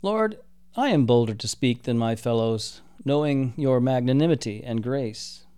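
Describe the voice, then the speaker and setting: A man's voice: medium pitch, animated, measured pace, mellow, clean tone, natural voice. Male reader playing haughty archangel; formal, scornful, near his own voice. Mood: disdainful.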